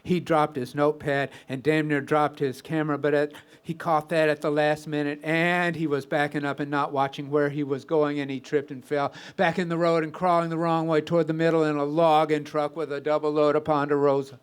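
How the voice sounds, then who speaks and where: A man's voice: on the high side, little pitch movement, a little fast, hardly any pauses, clear, resonant tone, natural voice. A man telling a comic story as a weary, rambling deer with a folksy, conversational manner. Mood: amused, startled. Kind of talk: first-person comic storytelling.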